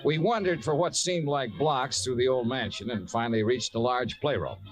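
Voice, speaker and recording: Actor as wry radio detective, music behind. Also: male voice, medium pitch, natural voice. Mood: wry narration. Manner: dry, measured, politely doubtful. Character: wry, sceptical male private investigator narrating his case. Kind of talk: 1950s radio detective drama acting.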